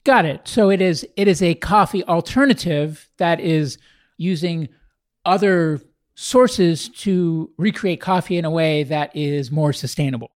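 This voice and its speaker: A man's voice: on the high side, a little slow, no echo, clean studio sound. A man hosting a podcast, introducing the show and interviewing a guest.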